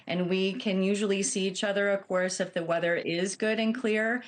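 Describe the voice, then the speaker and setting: A woman with a mid-pitched voice answers as an expert guest on a news program. She is an airline captain who explains things in a measured, earnest way. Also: steady tone. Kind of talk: broadcast news interview answers.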